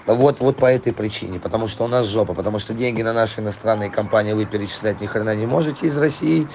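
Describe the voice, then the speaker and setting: A hoarse man rambling profanely in a casual voice message to a client, full of fillers. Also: lowish voice, fast, a little mellow, a little hiss or hum. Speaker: trailer import dealer.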